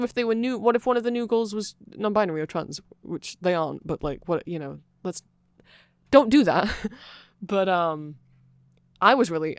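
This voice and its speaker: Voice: mid-range voice, no echo, studio quality, lightly acted. A performer voicing a nerdy vampire fan in a rambling, apologetic, digressive ASMR explanation. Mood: playfully indignant.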